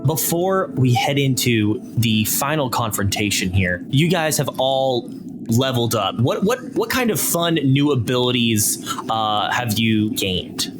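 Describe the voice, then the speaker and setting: A man's voice: medium pitch, expressive, a little slow. A man running a tabletop game as game master, encouraging and prompting his players. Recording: background music. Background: music.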